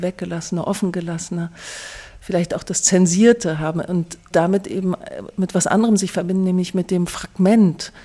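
A woman with a fairly low, very mellow voice comments off the cuff as an interviewed writer. She is reflective and tends to pile up similar words as she refines a thought. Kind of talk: spontaneous spoken commentary.

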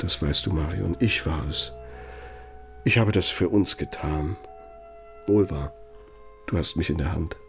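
An actor in a radio drama playing an aging, bitter Nazi architect, speaking in a reproachful, deliberate, rhetorical manner. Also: male voice, low voice, gravelly, full character voice. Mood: jealous, wounded, then pleading, resolute. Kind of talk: German radio drama monologue.

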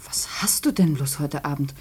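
An actress in a post-war German radio play playing a devoted, worried young wife who speaks softly and intimately. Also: female voice, low voice, moderate pace, a little hiss or hum, lightly acted. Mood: puzzled, worried.

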